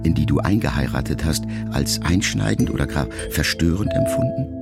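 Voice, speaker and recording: A man acting a brooding son who addresses his dying mother in a reflective, measured radio-drama monologue. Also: very deep voice, lively intonation, vocal fry, over loud music, heavily acted. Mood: pensive, restrained. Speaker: radio play actor. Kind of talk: intimate first-person literary monologue in a radio drama.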